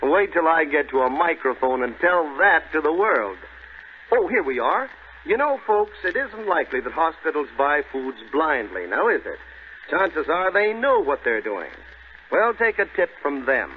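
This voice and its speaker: A tinny, faintly hissy 1930s radio advert with a folksy pitchman. His voice is fairly high and very animated as he questions and persuades like an announcer. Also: normal speed. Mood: persuasive.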